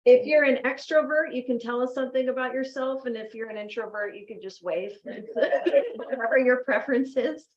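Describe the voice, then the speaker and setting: A woman's voice: sits high, highs cut off. Woman running an office sales meeting. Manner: brisk, friendly, laughs often. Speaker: meeting host. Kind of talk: casual office meeting talk.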